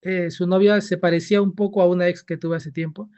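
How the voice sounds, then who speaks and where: A man in his thirties with a Peruvian accent, an author answering interview questions hesitantly with many fillers and pauses. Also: sits high, little pitch movement, darkish tone, clean tone, dull audio. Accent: Peruvian Spanish. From Peru. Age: 30s.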